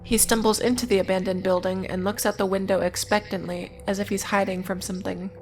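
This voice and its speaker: Woman narrating a video essay, flattish intonation. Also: mid-range voice, fairly quick. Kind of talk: scripted narration with casual asides. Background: music.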